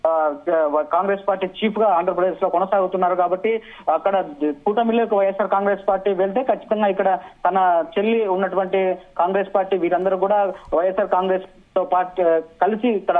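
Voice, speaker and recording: Guy doing live news report, high voice, halting, tinny phone line. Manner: halting, repeats and restarts words. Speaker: news correspondent.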